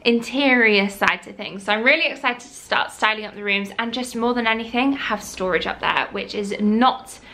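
A woman's voice: fairly high pitch, normal speed, hardly any pauses, sharp tone. A young woman chatting casually and enthusiastically about her home renovation, with lots of "like" and "um". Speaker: vlogger. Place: house under renovation, indoors. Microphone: camera held or set close, front-facing.

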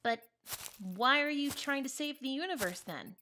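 A woman in an audio drama playing an earnest, excitable, kindhearted young female spirit companion, perky and informal. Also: high-pitched, moderate pace, a little hiss or hum. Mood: earnest, pleading.

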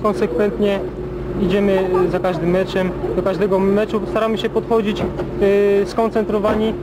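Young male footballer, high voice, loud traffic behind, halting. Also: level tone. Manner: stumbling, repetitive. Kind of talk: interview.

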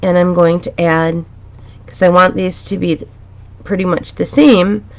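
A woman teaching a drawing pattern step by step in a calm, chatty, reassuring way, her voice a little raspy. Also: fairly low pitch, unhurried, muffled recording. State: slightly raspy from a dusty room. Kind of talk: relaxed step-by-step drawing tutorial. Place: home art studio, indoors.

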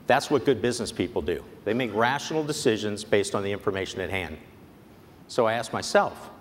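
A man in his forties, a company executive giving a prepared keynote speech on a large convention stage, measured and persuasive. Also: lowish voice. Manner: measured, earnest, persuasive delivery. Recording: long hall reverb, faint background noise.

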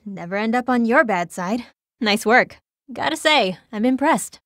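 Blunt, aloof young fighter, fairly high. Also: female voice, expressive, a little slow, a bit bright, no echo, studio quality. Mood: nonchalant. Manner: casual, offhand, nonchalant. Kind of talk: video game battle voice clips.

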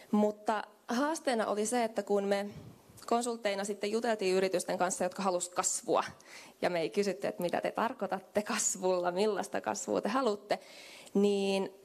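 A woman's voice: medium pitch, vocal fry. A young woman giving a conference keynote into a handheld microphone in a meeting room, talking in a conversational way with fillers. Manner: conversational, thinks aloud with fillers. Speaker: keynote speaker. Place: hotel conference room with small audience.

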